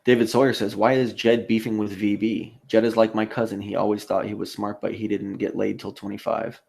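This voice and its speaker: A man with a fairly low voice and heavy vocal fry hosts a casual live stream. He reads comments aloud and answers in a rambling, halting way, with muttered asides. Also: level tone, darkish tone. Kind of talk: casual livestream chat, reading viewer comments aloud.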